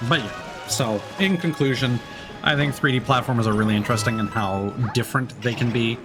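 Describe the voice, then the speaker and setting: A man's voice: medium pitch, brisk. A man streaming a video game, thinking aloud in casual, rambling commentary while he plays. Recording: game music behind the voice. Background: video game music.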